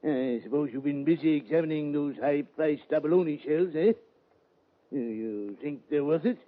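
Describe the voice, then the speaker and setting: Actor as oily high-voiced pompous villain. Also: male voice, natural voice. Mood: oily, courteous. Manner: pompous, mock-courteous, drawn-out hesitations. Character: oily, pompous fat villain posing as doctor. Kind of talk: 1940s-50s radio western acting. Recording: dull lo-fi old radio recording.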